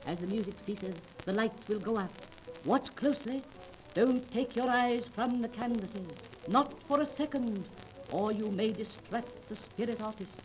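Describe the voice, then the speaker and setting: An actress playing a commanding, mysterious fake spirit medium, grandiose and solemn, in a 1930s radio drama recording. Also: female voice, a little high, a little slow, natural voice, low-quality recording. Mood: solemn, ceremonious.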